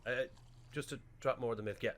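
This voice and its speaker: An actor playing a well-meaning but bumbling Irish funeral director in a radio play, chatty, hesitant and rambling. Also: male voice, medium pitch, steady tone, fast talker, fairly bright tone, a little hiss or hum, close to an everyday voice. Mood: awkward, chatty.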